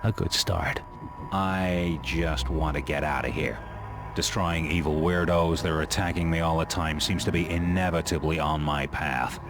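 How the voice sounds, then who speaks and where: A man's voice: rumbling low, fairly even intonation, moderate pace, background noise. An actor playing a cynical, hardened dead gunman in a video game, brooding and narrating in a grim, world-weary, sardonic way.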